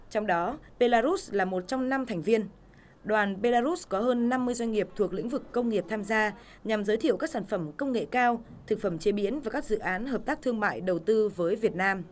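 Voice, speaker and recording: A woman narrating a television news report in a steady, formal reading voice. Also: medium pitch, a little hiss or hum. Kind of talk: scripted news voice-over.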